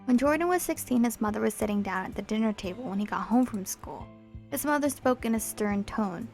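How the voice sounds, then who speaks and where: A woman's voice: a little high, expressive, a little fast, darkish tone, faint music behind. A young woman reading a visual novel aloud for a let's play, with chatty, apologetic asides between the lines.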